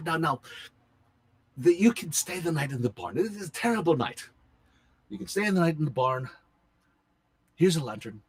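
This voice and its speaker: A flustered, good-hearted farmer's wife from a told folk tale, fussing and repeating herself. Her voice sits high and swings wildly up into near-shrieks, with a husky edge, as a male storyteller puts her on. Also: dry recording, far from the mic, exaggerated voice. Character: fussy, kindly farmer's wife, middle-aged woman. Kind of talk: oral storytelling.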